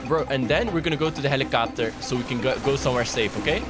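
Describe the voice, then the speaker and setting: A young man on a headset hosting a co-op horror game, energetic with loud outbursts. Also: medium pitch, very fast, over loud music. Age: young adult. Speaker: gaming channel host. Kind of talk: co-op gameplay banter.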